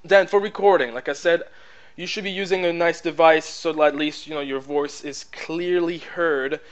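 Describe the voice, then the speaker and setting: A man with a French accent giving a casual software tutorial over his screen, rambling with lots of fillers and "blah, blah, blah". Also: on the high side, fairly even intonation, normal speed. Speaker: tutorial presenter. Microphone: plug-in headset mic.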